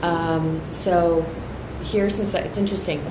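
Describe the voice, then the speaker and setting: A woman's voice: on the low side, steady tone, measured pace, roomy sound, noisy background. A middle-aged woman from Iran, raised in San Francisco, speaking steadily as a district attorney candidate in an interview, with frequent fillers. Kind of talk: endorsement interview.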